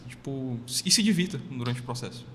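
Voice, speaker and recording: A man's voice: mid-range voice, animated, a little fast. A man giving reflective answers as a panel guest, thoughtful and building his points by repetition. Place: makeshift studio at a university campus event. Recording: long reverb, faint ambient noise.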